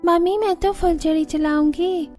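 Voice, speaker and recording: A woman voicing a small, excited child in a Hindi cartoon story. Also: very high voice, moderate pace, faint music behind. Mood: eager.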